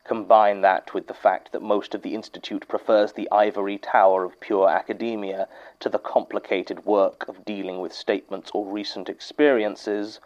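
Guy acting as dry pedantic archivist dictating notes, lowish voice, kinda muffled sound, audio drama. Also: little pitch movement, moderate pace, a little mellow, natural voice. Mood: dry, exasperated. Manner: formal, dry, precise. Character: weary, pedantic male academic archivist, skeptical and precise. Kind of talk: audio drama monologue, dictating a recorded statement.

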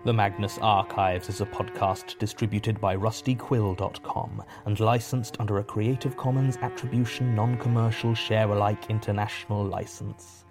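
A man reading scripted podcast credits as an announcer in a measured, matter-of-fact way. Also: lowish voice, flat delivery. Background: music.

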